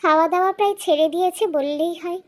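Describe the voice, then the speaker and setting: A woman's voice: extremely high pitch, fast, voice pushed up. A woman voicing a small, loyal magical bird in a cartoon fairy tale, earnest and caring. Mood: sorrowful.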